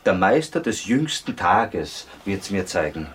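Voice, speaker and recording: A man's voice: low-pitched, very animated, unhurried, a bit bright, slightly rough, heavily acted. An actor playing a talkative, troubled Viennese man in a radio drama, colloquial, rambling and hesitant. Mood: chatty, gossiping. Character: talkative, troubled Viennese cousin of a stricken girl.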